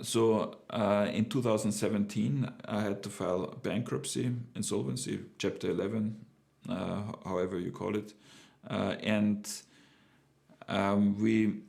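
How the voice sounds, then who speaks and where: Slow, gravelly man with a German accent. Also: on the low side, steady tone, a little mellow. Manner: hesitant, many fillers and restarts. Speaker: entrepreneur sharing his experience. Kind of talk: casual first-person storytelling.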